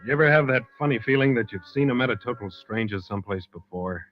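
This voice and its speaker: An actor playing a tough, wry American war-veteran pilot in an old-time radio drama, speaking in a clipped, laconic way. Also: male voice, medium pitch, fast talker, faint music behind, natural voice. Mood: reflective narration. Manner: clipped, laconic, hard-boiled. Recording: dull, noisy old radio show recording.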